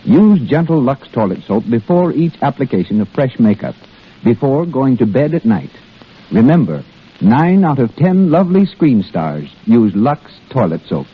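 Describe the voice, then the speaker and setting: Animated American 1930s radio announcer man. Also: medium pitch, moderate pace, breathy edge. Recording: muffled old radio broadcast.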